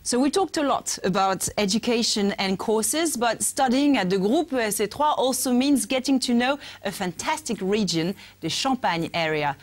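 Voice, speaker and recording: A woman's voice: a little high, lively intonation, normal speed, few pauses. A woman presenting a news bulletin with scripted links and interview questions.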